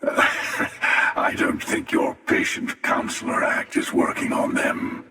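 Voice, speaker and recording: A man voicing a cynical, world-weary hunter in a video game, gravelly, sardonic and theatrical, with amused menace. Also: slow, pushed and bright. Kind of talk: scripted video game character dialogue. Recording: cavernous echoing reverb, distant.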